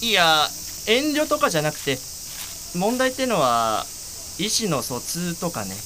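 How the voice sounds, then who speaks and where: A man's voice: high-pitched, very animated, unhurried, noisy background. A man playing an earnest, polite blind man shyly in love, in an audio drama. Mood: bashful, earnest.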